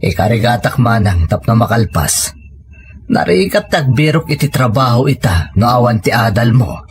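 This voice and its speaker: A man's voice: mid-range voice, big pitch swings, moderate pace, a little hiss or hum, natural voice. A man acting as a hard-working rural Filipino father in an audio drama. His voice sits in a middle register, and he speaks simply and earnestly, with devotion to his family.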